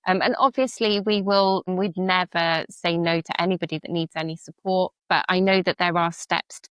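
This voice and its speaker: A woman's voice: on the low side, steady tone, normal speed, smooth, no echo. A woman being interviewed on a podcast as a charity leader, speaking earnestly and reflectively with some filler words. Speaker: charity CEO and veteran, guest.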